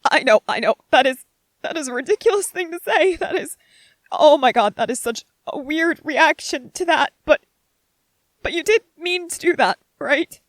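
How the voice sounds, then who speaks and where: Woman voicing a halting, high-pitched, earnest young man. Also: expressive, dry recording. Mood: flustered, disbelieving. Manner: halting, stammering, repeating phrases. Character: tender, anxious young man, earnest and easily overwhelmed. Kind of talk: ASMR roleplay audio drama, spoken to the listener.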